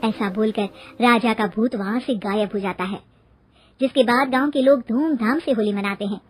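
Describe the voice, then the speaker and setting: High-voiced woman narrating a cartoon story. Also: moderate pace, husky, soft background music.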